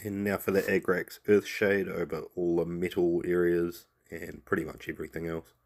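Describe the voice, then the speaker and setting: A man with a New Zealand accent who has a cold, talking through a painting tutorial in a relaxed voice-over that trails off with "sort of" and "um". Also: sits low, moderate pace.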